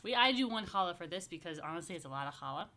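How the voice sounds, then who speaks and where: A woman's voice: lowish voice, expressive, racing, sharp tone. A woman rabbi hosting a family story time, chatting casually and playfully straight to young families, with informal asides and invitations to join in. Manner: addresses viewers directly with questions and invitations.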